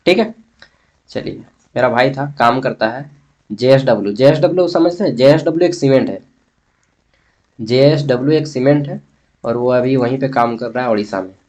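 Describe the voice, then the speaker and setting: A man's voice: medium pitch, normal speed, long pauses, a little mellow. A man with a Jharkhand Hindi accent teaches an exam-prep lesson informally and colloquially, asking questions and answering them himself as he addresses students. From Jharkhand, India. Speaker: history teacher for college students.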